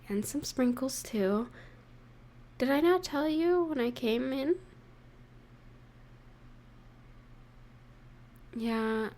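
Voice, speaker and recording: An affectionate girlfriend in an intimate roleplay audio, acted by a woman. Her voice sits somewhat high and she talks very slowly, leaving pauses. She is gentle and playfully teasing as she addresses her partner. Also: vocal fry, slight background noise. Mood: affectionate, relaxed.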